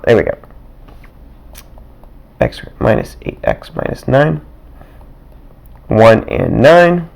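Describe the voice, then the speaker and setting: Raspy, slow-talking male math teacher. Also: medium pitch, poor audio. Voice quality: raspy, getting rougher. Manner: slow, thinking aloud with little chuckles and asides. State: dry throat, clearing it often. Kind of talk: casual worked-example math lesson. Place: at a desk.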